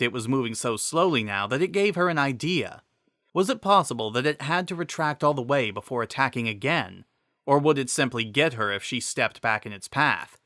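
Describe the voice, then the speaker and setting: A man giving an expressive, emphatic dramatic narration of a scripted story. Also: medium pitch, no echo, clean studio sound.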